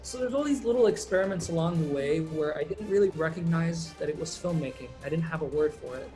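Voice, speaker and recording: A man's voice: fairly high pitch, fast, faint music behind, low-quality recording. Laid-back man, filmmaker guest. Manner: relaxed, rambling, many ums and uhs. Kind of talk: casual interview answers.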